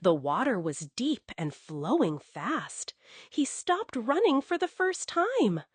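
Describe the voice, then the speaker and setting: Slow, very expressive woman storyteller. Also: on the high side, breathy edge, no room sound. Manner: expressive, coddling, addresses a young child. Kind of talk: scripted children's bedtime narration.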